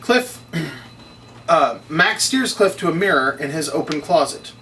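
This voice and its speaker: A man's voice: fairly high pitch, lively intonation, takes it slowly, sharp tone. A man reading stage directions as scripted narration at a table read. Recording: distant mic, noisy room ambience.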